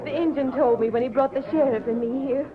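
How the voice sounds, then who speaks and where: An actress playing a devoted, emotional frontier sweetheart in an old radio western. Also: female voice, sits high, fast, a crowd in the background, lightly acted. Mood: relieved. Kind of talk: 1940s radio western acting. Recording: tinny old radio recording, commotion behind.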